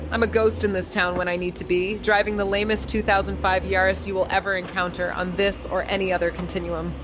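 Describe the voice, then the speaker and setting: An actress playing a sardonic, restless young small-town amateur sleuth, narrating an audio drama in slangy first person. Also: female voice, on the low side, natural voice. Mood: wry, watchful. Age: young adult. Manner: wry, sarcastic, slangy.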